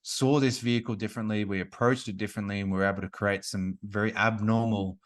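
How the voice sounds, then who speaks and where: A man's voice: on the low side, fairly even intonation, quick pace. A man in his forties, a business coach and author, talking casually in an interview and often restarting his sentences around "like.". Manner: chatty, frequent "like" and restarts.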